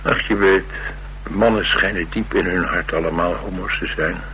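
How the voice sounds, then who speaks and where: An actor playing a cocky, wisecracking Dutch loner in a radio play, with flippant, colloquial banter. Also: male voice, low voice, steady tone, normal speed, sharp tone, a little hiss or hum, low-quality recording. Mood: wry, boastful. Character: cocky, restless, wisecracking male loner on the run. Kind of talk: naturalistic radio play dialogue.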